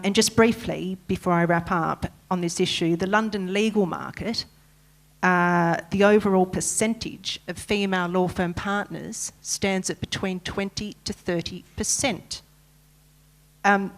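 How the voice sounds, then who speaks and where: An Australian woman, a lawyer speaking on a conference panel, articulate and emphatic, often opening her points with a filler. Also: mid-range voice.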